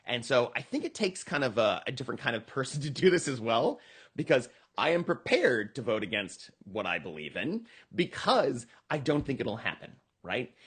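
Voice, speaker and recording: Animated American man, slightly husky voice. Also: mid-range voice. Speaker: political candidate being interviewed. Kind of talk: conversational campaign pitch in a podcast interview.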